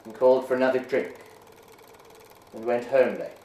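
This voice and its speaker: A man giving a measured, hushed dramatic reading of a ghost story. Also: mid-range voice, a little hiss or hum. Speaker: reader. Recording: roomy echo.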